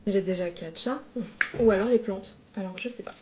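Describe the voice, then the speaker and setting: A woman's voice: mid-range voice, normal speed, warm tone, slight background noise. A woman chatting casually while doing her makeup, complaining about her social life. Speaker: makeup vlogger.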